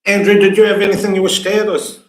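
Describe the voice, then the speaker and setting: A man's voice: sits high, little pitch movement, quick pace, reverberant, poor audio. A man chairing a council meeting, firm and orderly, directing questions to the room. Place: council chamber.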